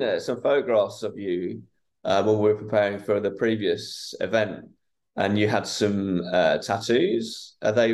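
A man hosts a podcast interview, asking his questions in a rambling way full of restarts. His voice sits somewhat low. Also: darkish tone. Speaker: podcast host. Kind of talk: casual interview.

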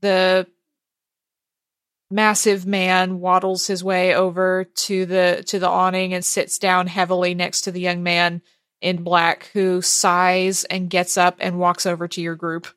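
A woman's voice: on the low side, completely flat delivery, a little slow, a bit bright, clean tone, no room sound. Woman game master, close dry sound. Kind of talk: narrating a tabletop role-playing game.

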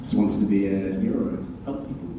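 A man's voice: on the low side, steady tone, a little fast. A young man, an actor on a convention panel, telling a casual, self-deprecating story that rambles and corrects itself as he goes. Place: large convention hall. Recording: distant, echoey hall with noise.

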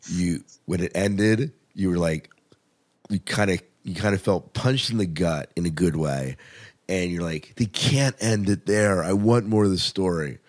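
A man hosting a podcast, chatting casually about television in an informal, rambling, opinionated way. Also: low-pitched. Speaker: podcast host. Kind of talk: casual TV review chat.

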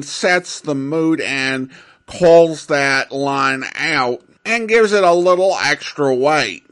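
Slow-paced man reviewing old radio. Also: a little high, a bit bright. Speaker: host of an old-time radio podcast. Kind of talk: reflective solo commentary.

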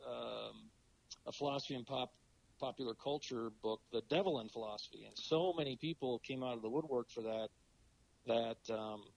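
Raspy man with a cold, halting. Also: mid-range voice, darkish tone, slight background noise, low-quality recording. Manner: halting, many fillers and restarts. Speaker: podcast guest, philosophy professor. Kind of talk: casual interview talk.

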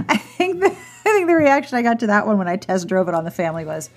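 A woman's voice: mid-range voice, very animated, fairly quick, faint music behind. A woman hosting a podcast, reading sponsor notes, thanks and a silly joke in a chatty, playful way. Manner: chatty, playful, warm delivery of jokes and thanks. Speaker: podcast host. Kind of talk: casual podcast outro with sponsor read.

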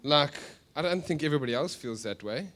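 A South African man preaching conversationally to a congregation, animated, with joking asides and rhetorical questions. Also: a little high, brisk. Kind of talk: sermon to a live congregation, conversational storytelling.

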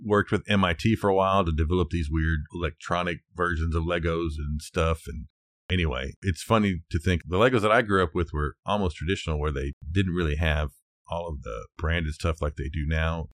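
A man's voice: sits low, brisk, dry recording, studio quality. A middle-aged man co-hosting a podcast, casually sharing facts in an informal, loosely structured way. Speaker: podcast co-host.

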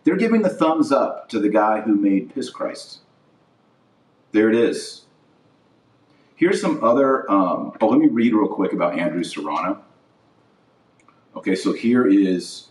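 A man with a fairly deep voice delivers informal opinion commentary as a podcast host. He is blunt and indignant, hammering points home through repetition. The sound is echoey, with the voice set back from the microphone. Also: darkish tone. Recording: roomy echo, distant from the mic.

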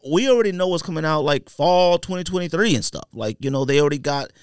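A man's voice: fairly high pitch, animated, fast, smooth, no room sound, clean studio sound. An American man hosting a podcast in casual chat, with a slangy, opinionated way of talking. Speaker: sneaker podcast host.

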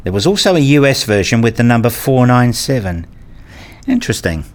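Guy playing a broody pedantic dad in a radio drama, obsessed with rules, kinda medium pitch, in his own head. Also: very animated, brisk, natural voice. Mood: brooding, introspective. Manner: introspective, pedantic. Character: brooding, rule-obsessed husband and father narrating his life. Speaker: narrator and lead character. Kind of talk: first-person radio play narration and dialogue.

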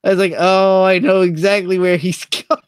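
A man co-hosting a podcast in a low-key, conversational manner, chatting casually. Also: flat delivery, moderate pace, clean tone, no echo. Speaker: podcast co-host.

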